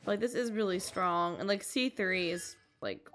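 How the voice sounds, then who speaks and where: A woman's voice: medium pitch, normal speed, fairly bright tone. A woman giving upbeat, chatty, casual commentary as she streams a game. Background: faint game music.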